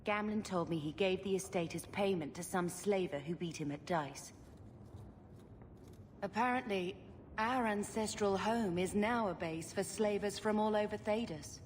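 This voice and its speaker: A woman's voice: medium pitch, normal speed, a little hiss or hum, lightly acted. An actress playing an earnest, thoughtful young woman in a fantasy game. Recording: game audio with music, reverb.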